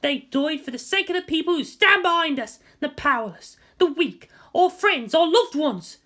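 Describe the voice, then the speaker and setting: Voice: sits high, expressive, normal speed, bright, forceful tone, voice pushed up. A narrator voicing a fierce teenage girl soldier, giving an impassioned, commanding speech as part of an audiobook story. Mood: fierce, rousing. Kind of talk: shouted battlefield speech in audiobook reading.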